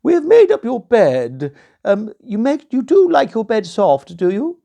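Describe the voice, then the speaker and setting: A storyteller voicing a bossy, fussy old queen in a bedtime tale, with a high, loud, fluttering delivery. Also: huge pitch swings, measured pace, gravelly, exaggerated voice. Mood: fussing, proud.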